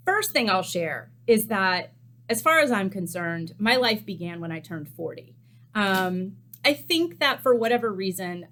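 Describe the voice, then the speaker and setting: A woman's voice: mid-range voice, fairly bright tone, a little hiss or hum. A woman in her fifties, a chef hosting a cookbook series, talking candidly to a desk webcam in a small cooking studio, with lots of ums. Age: 50s. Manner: chatty and candid, many ums. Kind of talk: casual chat to camera.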